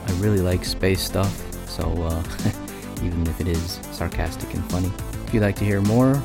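A man with a deep, low-pitched voice talks casually as a voice actor introducing himself. Music plays clearly behind him. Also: fairly even intonation, moderate pace.

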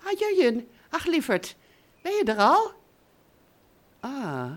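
A middle-aged woman playing the earnest mother of grown children in a radio drama, halting and often correcting herself. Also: high-pitched, huge pitch swings, full character voice. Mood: fussing, polite. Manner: halting, evasive, self-correcting. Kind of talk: naturalistic radio drama acting.

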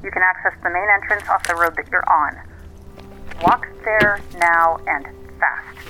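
A brisk, unflappable woman coworker on a phone call in an audio drama. Her bright, forceful voice gives clipped instructions over some clear background noise. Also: lowish voice. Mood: directive. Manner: clipped, matter-of-fact instructions.

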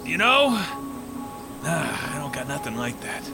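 A man's voice: fairly high pitch, big pitch swings, a little slow, vocal fry, full character voice. A man playing a laid-back, clueless slacker dude in a comedic audio drama, rambling and casual. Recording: music behind the voice.

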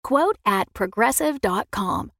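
A woman's voice: medium pitch, lively intonation, slow pace, gravelly, a bit bright, dry recording, studio quality. A woman reading a scripted advertisement as an announcer. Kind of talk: scripted ad read.

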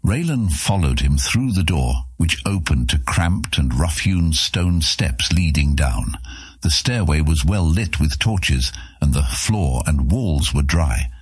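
A man reads scripted fantasy fiction as an audiobook narrator. His voice is deep and husky. The sound is very dry, with no room to it. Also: lively intonation, slow, dry recording, faint music behind.

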